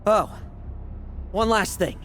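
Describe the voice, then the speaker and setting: Cocky, wry young knight. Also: male voice, high-pitched, animated, takes it slowly, fairly bright tone, slight background noise, heavily acted. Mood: cool, resolute. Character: cocky, teasing young knight and monster hunter. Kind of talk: dramatic video game voice acting.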